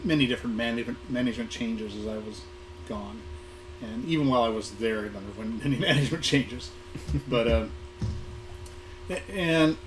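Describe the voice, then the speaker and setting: Hoarse, halting American man over background noise. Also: medium pitch. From Southern California. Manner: halting, with repeated words and long hesitations. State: hoarse, getting over an illness. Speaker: guest speaker, museum programming manager. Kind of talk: informal career talk, reminiscing. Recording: noisy live recording with room ambience.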